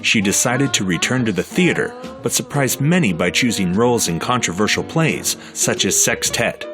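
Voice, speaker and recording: A man giving measured, scripted documentary narration. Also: lowish voice, animated. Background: music underneath.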